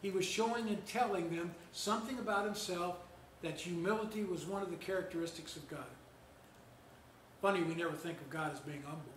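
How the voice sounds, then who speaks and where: Older pastor preaching in an echoey room. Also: male voice, sits high, a little hiss or hum. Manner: measured storytelling with gentle humor, seated in an armchair. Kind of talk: sermon. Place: church lounge with fireplace, indoors. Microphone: far camera. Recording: echoey room, voice heard from a distance.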